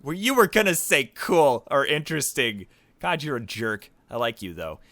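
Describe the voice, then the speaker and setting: A man's voice: medium pitch, sing-song, normal speed, sharp tone, dry recording. A man hosting a gaming video, reading visual novel narration aloud and adding his own commentary.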